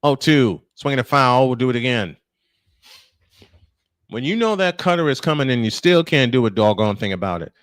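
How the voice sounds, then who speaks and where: A man's voice: medium pitch, lively intonation, normal speed, no echo, clean studio sound. A man calling live baseball play-by-play in punchy, clipped phrases, mixed with casual chat. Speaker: play-by-play announcer and host.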